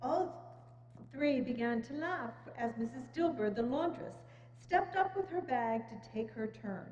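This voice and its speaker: A woman's voice: high voice, animated, a little slow, a little mellow. A woman narrating a story in a scripted dramatic reading. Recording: echoey church hall, dull, hum.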